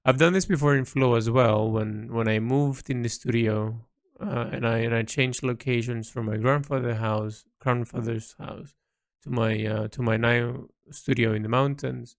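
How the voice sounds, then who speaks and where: A man recording a reflective, unscripted audio diary, thinking aloud haltingly with repeats, in a creaky voice. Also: medium pitch, fairly even intonation, no room sound, clean studio sound. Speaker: painter recording an audio log.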